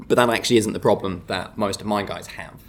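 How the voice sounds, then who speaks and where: Low-voiced man from Devon, hesitant. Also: fast talker, slight background noise. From Devon, England. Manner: hesitant, thinks aloud with fillers and restarts. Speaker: podcast guest, English teacher. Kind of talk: casual interview talk.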